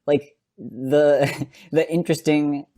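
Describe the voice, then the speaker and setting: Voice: mid-range voice, fairly even intonation, slow, warm tone. A podcast host in casual, enthusiastic conversation, loosely phrased and peppered with "like". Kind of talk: casual fan podcast chat.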